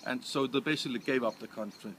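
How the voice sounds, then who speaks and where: A man from Denmark with a Danish accent, answering interview questions in English with many pauses and restarts. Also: mid-range voice, a bit bright, slight background noise. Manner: hesitant, many restarts and fillers. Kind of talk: casual interview.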